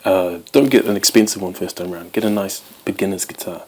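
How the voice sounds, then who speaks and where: A man's voice: sits low, fast talker, darkish tone, a little hiss or hum. A man in his twenties, born in Wales, chatting casually to camera as host of his guitar channel, rambling with many ums. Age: 20s.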